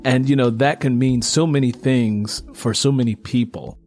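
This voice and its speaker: A man's voice: mid-range voice, fairly even intonation, moderate pace, darkish tone, faint music behind. A man hosting a casual interview, affirming his guest and repeating points for emphasis. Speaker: interview host.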